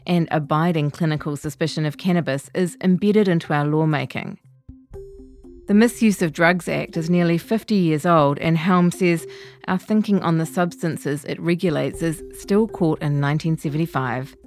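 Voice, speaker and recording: A woman's voice: deep voice, no room sound, studio quality. A female reporter reading her own long-form feature as scripted narration.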